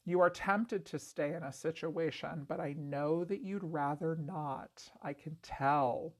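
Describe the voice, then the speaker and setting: Congested raspy woman reading tarot. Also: sits low, measured pace. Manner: chatty, many "like" fillers. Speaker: tarot reader. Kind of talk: casual tarot reading to camera.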